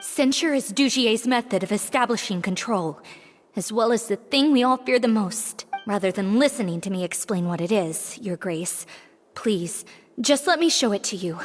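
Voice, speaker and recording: A woman's voice: mid-range voice, animated, normal speed, big-room sound, faint music behind, natural voice. An actress voicing a frightened young woman who pleads anxiously and apologizes.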